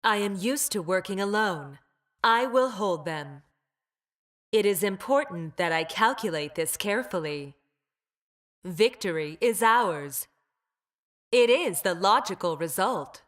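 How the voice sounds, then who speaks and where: A woman's voice: mid-range voice, expressive, unhurried, long pauses, a bit bright. A woman playing a clinical, detached female android scientist in a video game, speaking with formal precision and ruthless logic. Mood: calm, calculating. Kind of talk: video game character voice lines.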